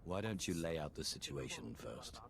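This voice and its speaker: An actor playing a menacing Sith lord who speaks curtly and imperiously. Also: male voice, lively intonation, moderate pace, noisy background, exaggerated voice. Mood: cold. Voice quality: deep gravelly. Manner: curt, imperious. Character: menacing male Sith lord, cold and commanding.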